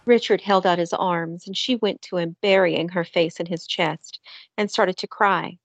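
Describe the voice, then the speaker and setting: Lowish-voiced woman narrating, dry sound. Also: fairly even intonation, moderate pace, no room sound. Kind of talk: scripted audio drama narration.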